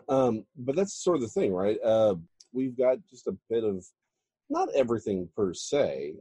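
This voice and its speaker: A man's voice: mid-range voice, big pitch swings, measured pace, warm tone, slightly rough, no echo. A man, a guest author talking casually in an interview and building up with emphasis.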